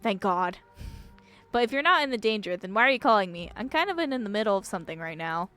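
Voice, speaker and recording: A woman's voice: mid-range voice, animated, fast talker, a bit bright, soft background music, lightly acted. Woman reading Tabitha, curt and exasperated. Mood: irritated. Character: Tabitha, irritable, worried woman in a visual novel.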